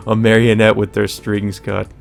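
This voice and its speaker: A man's voice: lowish voice, level tone, slow, vocal fry. Man narrating a visual novel, faint music behind. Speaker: let's play host.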